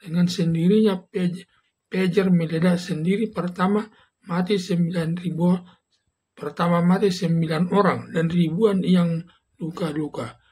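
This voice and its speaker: An older man reading out a commentary in a halting way. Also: high-pitched, fairly even intonation, darkish tone, breathy edge. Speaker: legal commentator. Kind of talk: scripted commentary, read aloud.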